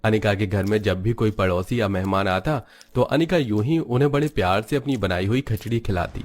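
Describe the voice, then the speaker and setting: A man's voice: fairly low pitch, a little fast, darkish tone, soft background music. A man narrating a Hindi cartoon story in a scripted storytelling style.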